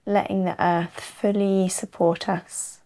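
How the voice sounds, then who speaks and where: A woman leading a guided yoga nidra relaxation as the teacher, in an unhurried, soothing way with long pauses. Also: mid-range voice, level tone, dark tone. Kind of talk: slow guided relaxation narration.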